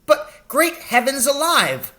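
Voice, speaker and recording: An elderly country doctor, played by a man reading a storybook, pitched very high and swooping with feeling. He is small, kindly and excitable, relishing his words and prone to exclaiming. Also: slow pace, exaggerated voice. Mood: outraged.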